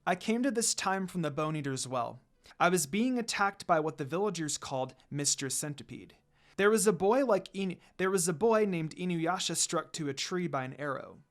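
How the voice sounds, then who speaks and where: A man's voice: a little high, lively intonation, moderate pace. A man voicing a polite, earnest young priestess in a story, explaining things respectfully. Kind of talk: storybook character reading.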